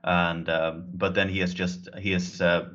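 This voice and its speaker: Deep-voiced man on a dull call line. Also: little pitch movement, a little slow, bright, forceful tone. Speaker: podcast co-host. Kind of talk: casual hockey podcast chat.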